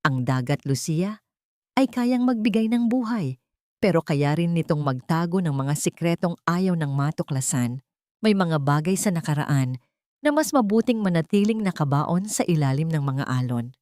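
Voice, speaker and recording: A woman's voice: low voice, animated, moderate pace, darkish tone, dry recording. A guarded old fisherman , voiced by a woman narrator, speaks solemnly and cryptically in an audiobook drama. The sound is dry and close. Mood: grave, foreboding. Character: old island fisherman grandfather, grave, guarded, secretive. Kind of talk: audiobook character dialogue.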